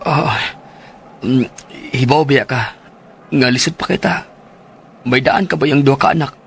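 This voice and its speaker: An actor with a Cebuano Filipino accent playing a hesitant, evasive suitor in a radio drama. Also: male voice, medium pitch, a little slow, creaky, slight background noise. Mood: worried. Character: Berto, hesitant, evasive suitor worried about money.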